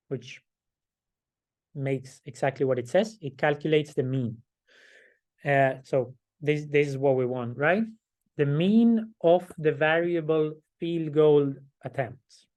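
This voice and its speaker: A hoarse middle-aged man lectures a class at an unhurried, deliberate pace. His voice sits a little high for a man, and he keeps clearing his throat. He walks through each step and checks in with "right?" as he goes. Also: a little mellow. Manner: slow, explaining step by step, frequent "right?" check-ins. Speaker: instructor.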